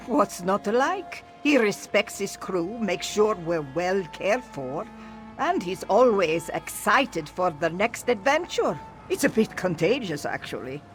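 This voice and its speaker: A woman's voice: mid-range voice, sing-song, slow, soft background music. A woman voicing a loyal, reflective veteran cat-folk sailor in scripted video game dialogue. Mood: fond. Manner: reflective, speaks of self in third person.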